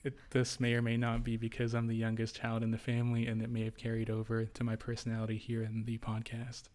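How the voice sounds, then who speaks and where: A man's voice: mid-range voice, completely flat delivery, fast talker, a little mellow. A young adult man co-hosting a casual podcast, talking in a playful, joking way. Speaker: podcast co-host. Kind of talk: casual chat.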